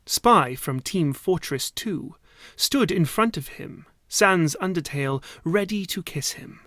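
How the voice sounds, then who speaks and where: A man reading a story aloud as a voice actor, in a dramatic, theatrical manner that drops at times into hushed asides. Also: on the high side, animated, slow, dry recording, studio quality.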